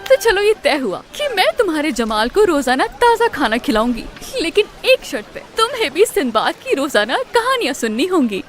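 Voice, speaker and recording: A woman's voice: sits high, big pitch swings, fairly quick, hardly any pauses, slight background noise. A woman voicing a spirited, friendly young woman in a cartoon dub. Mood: playful. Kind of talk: Hindi cartoon dub acting.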